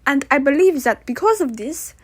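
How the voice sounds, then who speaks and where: A woman's voice: sits high, lively intonation, moderate pace. A woman playing a boastful, touchy Napoleon as a pompous guest in a comedic puppet interview. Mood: proud. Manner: pompous, indignant, sarcastic.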